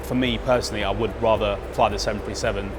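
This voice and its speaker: Low-voiced man in his thirties, noisy backdrop. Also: steady tone. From United Kingdom. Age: 30s. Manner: measured, frequent ums. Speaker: pilot trainee. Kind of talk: interview testimonial.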